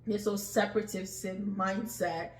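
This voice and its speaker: High-voiced man, echoey room. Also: fairly even intonation, a little slow. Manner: reflective, many fillers, agreeable. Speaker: podcast co-host. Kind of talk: casual podcast conversation. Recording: echoey room, faint background noise.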